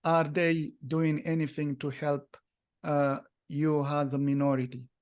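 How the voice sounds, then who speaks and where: A man interviewing a guest, asking slow, deliberate questions with many fillers. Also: a little high, little pitch movement, a little mellow.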